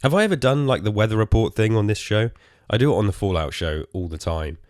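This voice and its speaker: A tired, hoarse man with an English accent, rambling casually as host of his own podcast, swearing and poking fun at himself. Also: fairly low pitch, expressive, fast talker, a little mellow, dry recording, clean studio sound. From England. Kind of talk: casual solo podcast chat.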